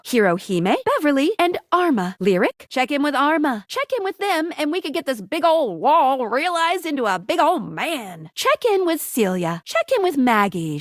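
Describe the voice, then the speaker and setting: Casting: bubbly, cheeky female helper for a dating sim game; high-pitched, very animated delivery. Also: no room sound, clean studio sound. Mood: helpful, chipper. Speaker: video game voice actor.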